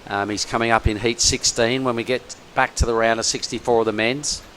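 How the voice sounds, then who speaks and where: A male surf commentator calling a contest live, with an easy, excitable delivery pitched in the middle range. A faint hiss of noise sits beneath his voice, and the tone stays conversational, as if he is talking with a colleague. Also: a little fast, poor audio. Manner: excitable, chatty colour commentary.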